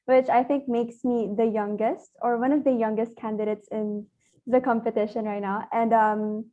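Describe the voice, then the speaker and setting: A woman's voice: fairly high pitch, little pitch movement, mellow. An eighteen-year-old woman from the Philippines, a pageant contestant chatting eagerly in a video call interview, often laughing. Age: teens. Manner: giggly, eager, fast talking. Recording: dull lo-fi video call audio.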